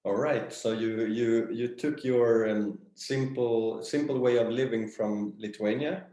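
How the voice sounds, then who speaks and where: A man's voice: mid-range voice, steady tone, measured pace, mellow. A man speaking casually as a guest in an interview, recorded with a roomy echo. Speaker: interview guest, company founder. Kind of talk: casual conversation. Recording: roomy, echoing sound.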